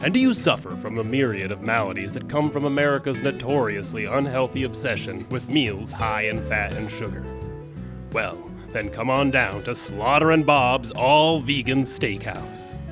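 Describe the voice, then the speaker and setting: Actor for friendly male Western radio pitchman, medium pitch, spoof-commercial delivery, prominent guitar backing. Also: moderate pace, natural voice. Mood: folksy, selling. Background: plucked guitar music.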